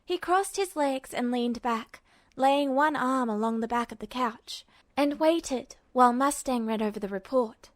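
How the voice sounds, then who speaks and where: Woman reading fanfic aloud, higher voice, steady, super dry recording. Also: unhurried, no room sound. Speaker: narrator.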